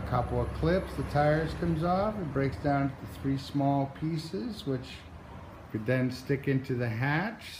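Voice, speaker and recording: A man from New Hampshire giving a casual, halting walkthrough into a handheld phone, with many pauses and restarts. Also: medium pitch, darkish tone, roomy sound, slight background noise, low-quality recording. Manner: slow, halting delivery with many uh's and restarts. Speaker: outdoors vlogger. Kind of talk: casual show-and-tell walkthrough. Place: garage, then outdoors by a driveway. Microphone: handheld phone camera, moving around.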